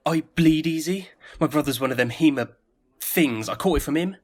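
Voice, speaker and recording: A man's voice: fairly high pitch, moderate pace, breathy edge. A man playing an edgy, evasive young man on the run in an audio play, talking in blunt, clipped, street-wise lines. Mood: edgy, defensive.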